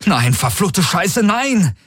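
A man's voice: high-pitched, big pitch swings, slow, a bit bright, breathy edge, no room sound, pitched above the performer's own voice, heavily acted. A male reader plays a hot-tempered space captain in an audiobook. He sounds desperate and emphatic, with urgent phrasing, recorded dry and close. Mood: panicked.

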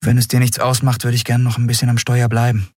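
A man voicing an impulsive, stubborn young woman who captains an airship, earnest and quick to argue. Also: mid-range voice, monotone, darkish tone, no room sound, clean studio sound, pitched above the performer's own voice, lightly acted. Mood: pleading.

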